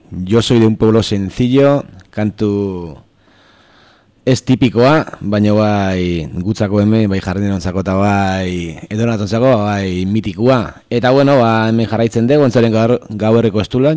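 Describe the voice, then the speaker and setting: Male radio host, low, dark mellow tone, dry studio sound, casual fillers. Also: normal speed, no echo. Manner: loose, filler-heavy, relaxed.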